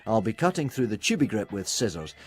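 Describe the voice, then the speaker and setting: A man voicing a genial hospital plaster technician, calm and reassuring, in a storybook reading. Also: on the low side, brisk, husky, faint music behind.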